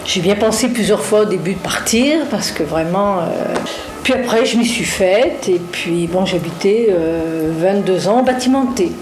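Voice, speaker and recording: A woman's voice: medium pitch, expressive, unhurried. A woman, a longtime resident, telling her story in a matter-of-fact, slightly weary way. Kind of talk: documentary interview. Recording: echoey room.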